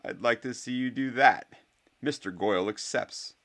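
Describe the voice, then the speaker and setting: A man's voice: sits low, normal speed. A man voicing a haughty, scornful rich schoolboy with a drawl in a storybook reading.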